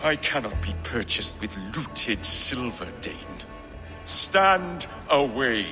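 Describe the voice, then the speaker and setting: Scornful proud king, mid-pitched, very animated, echoing hall, game drama. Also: slow pace. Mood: defiant. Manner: haughty, scornful, blunt. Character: proud, stubborn, wrathful British king. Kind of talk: dramatic video game acting. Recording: long hall reverb, music underneath.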